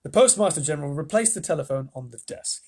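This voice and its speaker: A man reading a children's book aloud on a live stream, with lively asides. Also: on the high side, quick pace, slightly rough. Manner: lively, self-interrupting asides. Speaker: reader.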